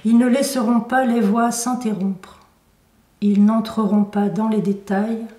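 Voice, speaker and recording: A woman poet reading her own poetry aloud in measured, deliberate phrases with pauses. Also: a little high, fairly even intonation, dark tone. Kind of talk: poetry recitation. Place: indoor room at a poetry venue. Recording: roomy echo, recorded from a distance.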